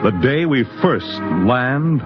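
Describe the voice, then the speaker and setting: An actor with an American accent playing a solemn, portentous narrator in a 1950s science fiction radio drama. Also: male voice, medium pitch, slow pace, close to an everyday voice. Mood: solemn, ominous. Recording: dull 1950s radio recording, loud music behind.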